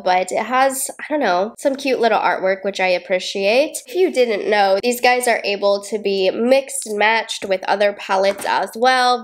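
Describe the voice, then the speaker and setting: A young woman with a congested, slightly nasal voice, chatting upbeat and casually through a makeup tutorial at home. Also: medium pitch. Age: young adult. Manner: chatty, upbeat, laughs often while working. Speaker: beauty vlogger. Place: small home filming room indoors.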